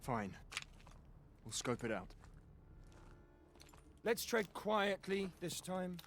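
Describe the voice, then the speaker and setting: A high-pitched male soldier, serious and careful as he leads a patrol. It is businesslike video game acting with clear noise behind the voice. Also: very animated, drawn-out words, heavily acted. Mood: cautious. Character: cautious, sharp-minded male soldier leading a small patrol. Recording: clear vehicle and field noise.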